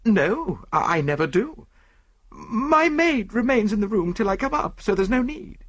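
Reader doing a rich lady, high voice, matter-of-fact. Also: sing-song, measured pace, fairly bright tone, husky, dry recording, clean studio sound, heavily acted. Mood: assured.

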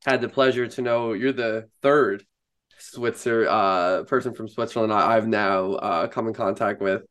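A young man hosting a podcast interview, asking questions in an excited, friendly way and cheering his guest on. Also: fairly low pitch, measured pace. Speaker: podcast host.

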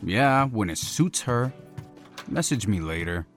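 A man's voice: fairly low pitch, lively intonation, measured pace, music in the background. An actor playing a cocky, flippant young womanizer in an audio drama, teasing and sarcastic. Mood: exasperated. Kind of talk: naturalistic audio drama acting.

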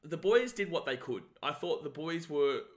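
A man's voice: on the high side, animated, normal speed. A man co-hosting a sports podcast, giving fired-up, passionate fan opinions. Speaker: podcast co-host. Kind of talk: casual sports podcast chat.

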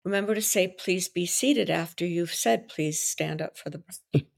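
Belgian-born woman with French as her first language, lower voice, confident and theatrical, a wedding officiant talking about her craft. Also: moderate pace, vocal fry. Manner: expressive, theatrical delivery; confident, enthusiastic explaining. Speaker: wedding vow coach and officiant. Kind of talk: podcast interview talk.

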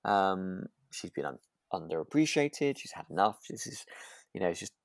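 Low, dry-sounding woman. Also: big pitch swings, moderate pace, creaky, no echo. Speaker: podcast co-host. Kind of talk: relaxed radio drama recap chat.

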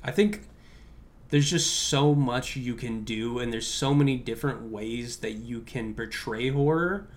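A man's voice: mid-range voice, unhurried. Young man, casual rambling podcast talk. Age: young adult. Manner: informal, conversational, heavy use of "like". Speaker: podcast host.

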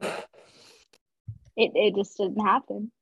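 A young woman from Georgia, co-hosting a podcast in a bubbly, excitable casual chat. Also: medium pitch, drawn-out words, creaky, slightly rough, dry recording. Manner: bubbly, excitable, talks with lots of fillers. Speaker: podcast co-host. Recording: dull lo-fi sound.

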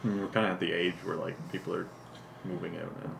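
A man in his twenties with a fairly low voice chats casually in a group. He is conversational and teasing. The space sounds echoey, with some noise in the background. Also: little pitch movement, quick pace, vocal fry. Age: 20s.

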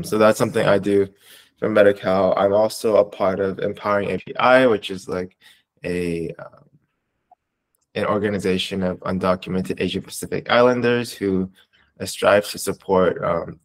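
A man in his twenties with an American accent, answering interview questions casually in a hesitant, halting way with many pauses. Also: sits low. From Southern California, United States. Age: 20s. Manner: hesitant, halting, pausing often between short phrases. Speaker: interviewee, community advocate.

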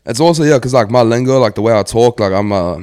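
A young man with a South African accent softened by an American twang, chatting casually, rambling loosely and swearing freely. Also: medium pitch, quick pace, smooth. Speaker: guest. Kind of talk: casual chat.

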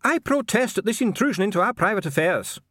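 A man's voice: sits high, lively intonation, quick pace, sharp tone, no echo, studio quality, pitched above the performer's own voice, heavily acted. A man voicing an officious, evasive dwarf official in formal, clipped, protesting speech for an audiobook. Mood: indignant.

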